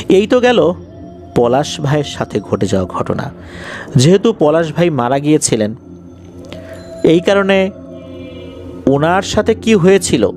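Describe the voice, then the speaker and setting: A man narrating a ghost story as host, in a conversational, dramatic and deliberate way that repeats phrases for suspense. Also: on the high side, darkish tone.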